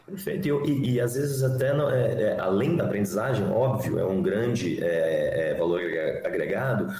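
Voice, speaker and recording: A man's voice: lowish voice, normal speed, mellow. A Brazilian man with a Mineiro accent, a headhunter being interviewed, who speaks earnestly in long flowing sentences. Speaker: headhunter guest. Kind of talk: interview conversation. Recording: roomy echo, faint background noise.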